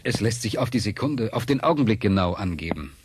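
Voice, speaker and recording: Guy acting a precise scientist, deep voice, didactic, noisy background. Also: expressive, brisk, lightly acted. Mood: matter-of-fact. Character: precise, matter-of-fact experiment scientist. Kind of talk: German radio drama acting. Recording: clear background noise.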